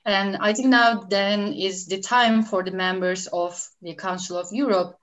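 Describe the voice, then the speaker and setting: A woman lawyer from Turkey, speaking English with a Turkish accent as a guest on an online panel, measured with occasional fillers. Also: on the low side, low-quality recording. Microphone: video call.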